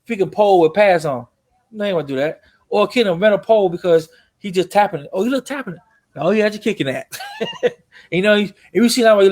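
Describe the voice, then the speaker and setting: A man from Miami co-hosting a casual podcast chat, talking in easy slang with quick laughs and eager interjections. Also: high voice. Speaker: co-host.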